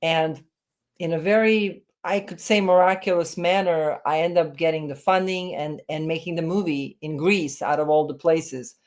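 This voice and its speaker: Woman in her fifties, deep low voice, halting speech full of fillers, video call. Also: fairly even intonation, a little mellow. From Serbia. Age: 50s. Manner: hesitant, many fillers and restarts. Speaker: film director and writer, guest. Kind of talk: remote interview, reflective storytelling.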